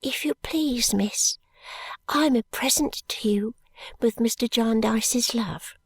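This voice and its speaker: A woman voicing a timid little girl maid who speaks softly, politely and breathlessly, close to tears. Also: a little high, slow pace, husky, no room sound, pitched above the performer's own voice, exaggerated voice. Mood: eager, tearful. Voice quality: soft, whispery.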